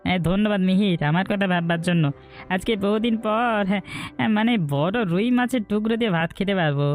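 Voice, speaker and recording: A man playing a greedy, wheedling village man in a cartoon folktale, excitable and prone to repeating his words. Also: sits high, fast talker, soft background music.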